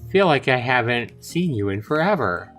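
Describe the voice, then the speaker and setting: A man's voice: mid-range voice, sing-song, normal speed, a bit bright, soft background music. A man voicing a cheerful, airy young woman who teases her friends in a visual novel, light and guileless. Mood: chatty. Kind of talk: visual novel character read aloud.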